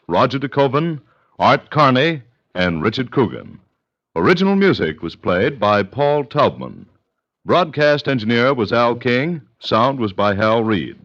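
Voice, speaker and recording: A man's voice: medium pitch, big pitch swings, measured pace, a bit bright. An American man announcing a vintage radio program in a formal, dramatic scripted style. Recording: muffled old radio with organ music.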